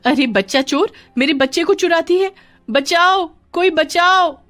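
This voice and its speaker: A woman's voice: extremely high pitch, unhurried, fairly bright tone, faint music behind, pitched above the performer's own voice. A woman voicing a panicked, furious mother shrieking for help against a thief in a dramatized story. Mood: panicked fury. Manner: shrieking, alarmed.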